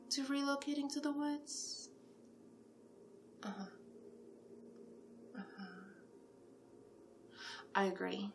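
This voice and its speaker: Creaky-voiced gushing matchmaker, played by a woman. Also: medium pitch, a little hiss or hum. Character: chatty, encouraging female monster matchmaker pitching candidates to a client. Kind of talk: horror roleplay ASMR, one-sided conversation.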